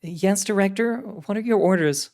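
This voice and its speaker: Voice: mid-range voice, normal speed, vocal fry, darkish tone, pitched above the performer's own voice, lightly acted. A narrator voicing a wary, businesslike woman who works as a containment agent in an audio story, direct and skeptical. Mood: dutiful. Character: wary, businesslike female containment agent, exasperated but dutiful. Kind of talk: audio story character dialogue.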